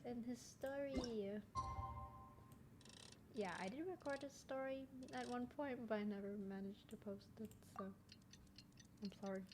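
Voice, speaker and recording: A woman's voice: fairly high pitch, steady tone, measured pace, pauses often. A woman doing casual let's play commentary, chatty and giggly as she reacts aloud to a video game. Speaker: gaming streamer. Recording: loud game audio and noise behind. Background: video game sound.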